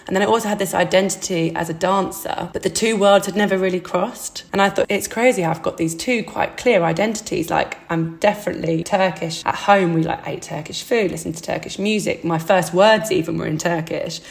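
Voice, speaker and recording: A young woman with a low voice talks through her dance training as an interview guest. She is chatty and reflective, often saying "like" and laughing lightly. Her delivery is relaxed and conversational. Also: fairly quick, vocal fry, few pauses. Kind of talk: casual interview.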